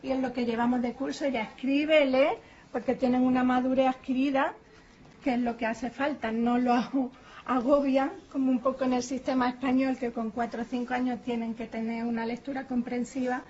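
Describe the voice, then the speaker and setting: High-voiced woman teacher chatting. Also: level tone, moderate pace, a little mellow, highs cut off, slight background noise. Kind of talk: interview answer.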